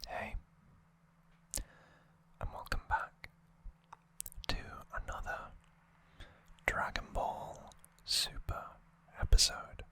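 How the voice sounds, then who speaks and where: An ASMR host whispering a trivia summary in a breathy, unvoiced, hushed and measured way. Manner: slow, hushed, measured delivery.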